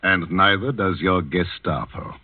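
A man's voice: deep voice, unhurried, husky, no room sound, phone-quality sound. A man acting an urbane, unflappable psychiatrist with a sardonic, slyly defiant manner in a 1940s radio drama. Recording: thin, band-limited old radio recording.

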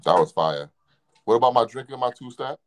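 A man's voice: medium pitch, animated, fairly quick. A man in a casual chat, playfully quizzing and needling the other speaker.

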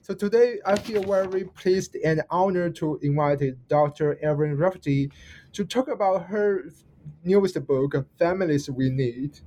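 A man's voice: sits high, a little slow, mellow, a little hiss or hum, low-quality recording. A man with a Chinese accent hosting an interview, polite and hesitant, often restarting and apologizing. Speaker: host.

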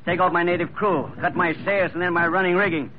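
남자 배우가 연기하는 냉혹하고 음흉한 진주 밀렵꾼. 낮게 위협하듯 말하는 1940년대 라디오극 목소리로, 먹먹한 옛 방송 음질에 잔잡음이 깔려 있다. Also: male voice, high-pitched, steady tone, quick pace, close to an everyday voice. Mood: scheming. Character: ruthless scheming male pearl poacher, cold. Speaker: pearler Labrado. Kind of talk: 1940s radio acting. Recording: dull old radio broadcast, faint hiss.

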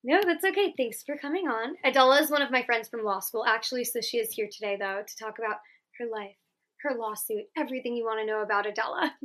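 A woman's voice: a little high, quick pace, fairly bright tone. A woman in her twenties hosting a podcast, chatting casually and giggling often. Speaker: podcast host. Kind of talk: casual chat.

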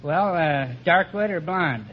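A man from St. Louis answering a quiz host in a hesitant, halting way. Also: on the high side. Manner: hesitant, halting with many pauses. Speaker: quiz contestant. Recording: dull old radio recording, loud audience, heavy hiss.

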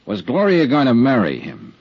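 An actor playing a calm, easygoing private investigator with a wry, conciliatory manner in a 1950s radio drama. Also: male voice, mid-range voice, moderate pace, husky, dry recording. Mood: calm, probing. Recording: dull, dry lo-fi 1950s radio recording.

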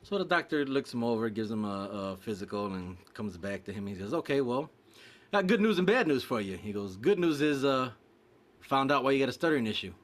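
A man's voice: medium pitch, fairly quick. A man telling a long joke in casual chat, acting out a stuttering patient and his doctor. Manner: animated storyteller mimicking a stutter.

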